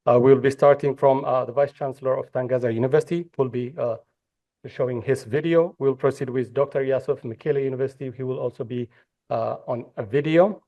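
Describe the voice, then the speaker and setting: Man, from Ethiopia, conference moderator, hesitant, medium pitch, muffled recording. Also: steady tone, quick pace. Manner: hesitant, many fillers and restarts. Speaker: panel moderator. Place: conference hall.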